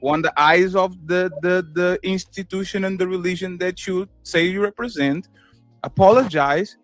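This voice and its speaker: A man with a Portuguese accent giving his opinions on a podcast, animated, swinging between loud and soft and acting out quotes. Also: high-pitched, normal speed, dry recording, soft background music. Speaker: podcast co-host. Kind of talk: casual opinion talk.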